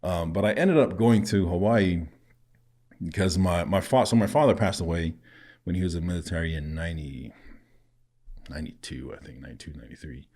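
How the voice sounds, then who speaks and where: A man from Samoa who grew up speaking tells stories in easy conversation, often breaking into laughter. His voice sits very low. He sounds like a guest in his thirties on a relaxed podcast. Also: a little fast. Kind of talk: casual conversational storytelling.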